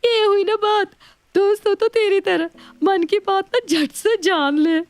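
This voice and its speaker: A woman voicing a pushy, excited young female friend in a story. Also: very high-pitched, unhurried, no room sound, voice pushed up. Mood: insistent, excited. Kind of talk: story dialogue.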